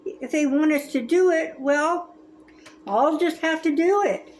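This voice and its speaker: Older woman in her seventies, slow unhurried reading, fairly high voice, gentle and expressive, faint background noise. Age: 70s. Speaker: storybook reader. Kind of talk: reading a children's book aloud.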